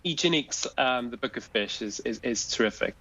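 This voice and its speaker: A man's voice: mid-range voice, expressive, moderate pace, bright, forceful tone, muffled recording. A man speaking as a guest commentator on a news program, talking hesitantly with many fillers and restarts. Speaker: film industry guest commentator. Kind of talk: live studio interview discussion.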